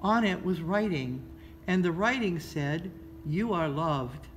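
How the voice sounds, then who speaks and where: A woman reads a children's story aloud in a measured, expressive storytelling style, pausing between phrases. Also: fairly low pitch. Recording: noticeable background noise.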